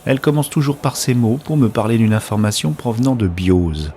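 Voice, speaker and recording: A man playing an introspective, gently wry narrator in a science-fiction audio drama, speaking in a literary, observational way. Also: mid-range voice, animated, fairly quick, a little hiss or hum, natural voice. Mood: pensive, observant.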